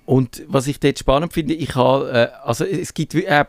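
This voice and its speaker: A man's voice: mid-range voice, normal speed, dry recording, clean studio sound. A man with a Swiss German accent co-hosting a casual podcast chat, thinking aloud and searching for words as he goes. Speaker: podcast co-host.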